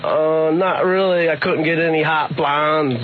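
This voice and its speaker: A man's voice: fairly high pitch, steady tone, a little slow, slight background noise. A man appearing as a radio show guest, giving hesitant, defensive answers. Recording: tinny radio broadcast.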